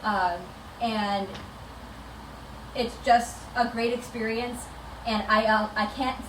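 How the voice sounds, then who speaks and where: Higher-voiced woman presenting in an echoey room. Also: steady tone, slow. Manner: enthusiastic, conversational. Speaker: chapter director presenting. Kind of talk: informal presentation to a meetup. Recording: echoey noisy room, distant microphone.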